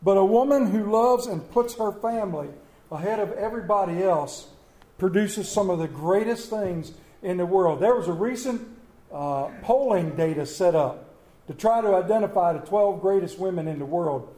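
A man preaching a Mother's Day sermon, folksy and storytelling, with dramatic slow pauses on quoted lines. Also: sits high, dark tone. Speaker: pastor. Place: church sanctuary. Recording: echoey church room.

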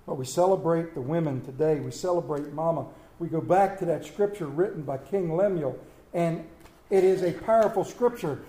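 A man preaching a Mother's Day sermon, folksy and storytelling, with dramatic slow pauses on quoted lines. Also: on the high side, mellow. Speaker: pastor. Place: church sanctuary. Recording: echoey church room.

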